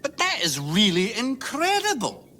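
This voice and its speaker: Chatty, excitable space crewman. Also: very high-pitched, a little slow, faint music behind, heavily acted. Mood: curious, incredulous. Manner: garrulous, incredulous, eager. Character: chatty, deferential, nosy freighter crewman. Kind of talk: British radio comedy drama acting.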